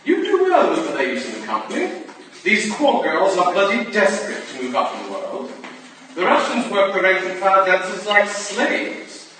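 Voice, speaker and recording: Casting: actor as tipsy upper-class braggart, highish animated voice, swaggering and confiding, period stage drama. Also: male voice, normal speed, full character voice. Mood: boastful, breezy. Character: boastful, drunken upper-class English gentleman rake. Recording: echoey room from far off, noisy.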